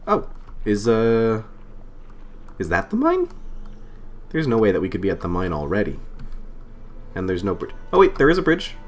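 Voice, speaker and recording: A man with a slightly lowered voice, still getting over a cold, talks through his game into a desk mic. He rambles casually, thinking aloud and poking fun at himself. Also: huge pitch swings, moderate pace, pauses often. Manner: chatty, self-deprecating, thinks aloud with fillers. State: recovering from a cold. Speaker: let's play host. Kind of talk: casual gameplay commentary. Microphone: desk microphone.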